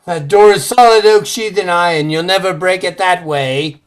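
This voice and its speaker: An actor playing an older, grave and fearful devout servant in an old-time radio horror play, solemn and ominous. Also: male voice, high voice, smooth, natural voice. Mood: uneasy. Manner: solemn, prayerful, ominous, deliberate.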